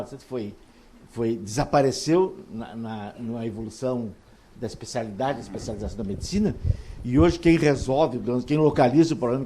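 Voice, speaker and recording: A man moderating a conference panel, halting and repeating words as he talks. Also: medium pitch, dark tone, husky, poor audio. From southern Brazil. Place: large auditorium stage.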